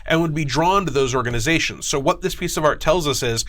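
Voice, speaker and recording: A man speaking as a guest game designer, explaining fictional lore in casual, animated detail and acting out imagined quotes. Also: on the high side, quick pace. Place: studio.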